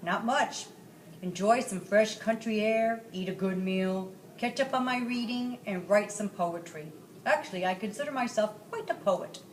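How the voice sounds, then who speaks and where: A woman's voice: medium pitch, moderate pace, close to an everyday voice. A woman voicing a genteel, cultured male dragon in a children's storybook, chatty and flowery in manner. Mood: cheerful. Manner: chatty, flowery, self-satisfied. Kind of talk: children's storybook read-aloud. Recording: roomy, distant mic, faint noise.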